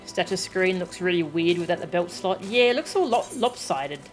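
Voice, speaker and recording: A woman's voice: on the low side, lively intonation, moderate pace, low-quality recording. A woman streaming a video game, chatting casually and reading text aloud as she plays. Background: video game music.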